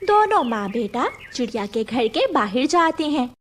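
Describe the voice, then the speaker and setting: A woman's voice: sits high, big pitch swings, normal speed, a little hiss or hum. A woman narrating a scripted children's story as its storyteller.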